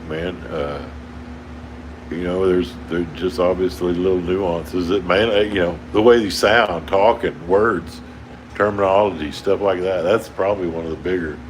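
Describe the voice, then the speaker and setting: A man's voice: sits low, poor audio. Man with an Oklahoma drawl, laid-back. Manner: rambling, laid-back, chuckles often. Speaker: towboat worker livestreaming. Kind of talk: casual livestream chat with viewers. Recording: steady air conditioner hum behind.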